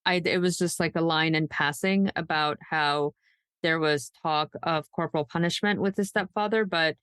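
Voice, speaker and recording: Low-pitched woman podcaster, close dry sound. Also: fairly even intonation, moderate pace, a bit bright, smooth, dry recording. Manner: conversational, speculative, emphatic, says "like" often. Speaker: podcast co-host. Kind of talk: casual true crime discussion.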